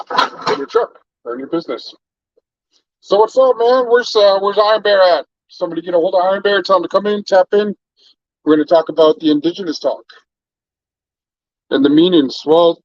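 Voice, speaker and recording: A man from Canada chats loosely on a livestream, drifting between topics and calling out viewers by name. His voice sits a little high. The sound is close, dry and muffled, like a cheap stream mic. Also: no echo. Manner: laid-back, rambling, shouts out viewers by name. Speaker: livestream host. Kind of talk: casual livestream chat.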